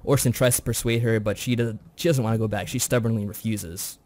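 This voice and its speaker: A man's voice: fairly low pitch, steady tone, brisk, darkish tone. A man co-hosting a review show, recapping a story in a chatty, informal way. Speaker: co-host of a visual novel review show.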